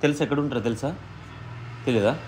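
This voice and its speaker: A man's voice: medium pitch, fast talker, a little mellow, slightly rough. A man in a confrontational phone argument, scolding and threatening, repeating his demands. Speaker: prank-call host posing as the woman's brother.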